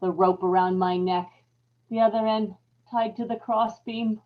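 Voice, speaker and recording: A woman's voice: medium pitch, steady tone, measured pace, natural voice. A woman playing a terrified, guilt-ridden wife losing her grip, in old-time radio horror style, dramatic and obsessively repetitive. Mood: frantic, defiant. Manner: dramatic, haunted, repeating words obsessively. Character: terrified, unraveling young farm wife, guilt-ridden and paranoid. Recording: dull lo-fi live recording with background noise.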